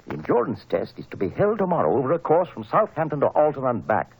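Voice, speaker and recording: An actor playing a proud, wealthy motor car inventor in an old radio drama, emphatic and earnest, with a husky voice. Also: male voice, mid-range voice, huge pitch swings, fast, a little mellow, slight background noise, poor audio. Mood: proud, enthusiastic.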